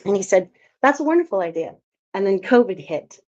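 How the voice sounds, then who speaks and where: A woman from Alaska tells her own story at a conference, personal and lively, acting out quoted lines. Her pitch sits in the middle range. The audio is dull and lo-fi. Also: fairly quick. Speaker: filmmaker presenter. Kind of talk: conference talk.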